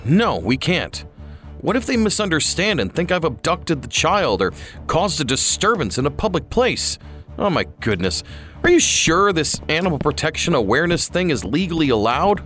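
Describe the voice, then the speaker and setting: A man voicing a vain, anxious young celebrity in an animated story, with a theatrical, exasperated way of talking. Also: fairly high pitch, normal speed. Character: tall, vain, anxious young male celebrity, teasing and proud. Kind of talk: animated story character dialogue. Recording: voice over background music. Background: music.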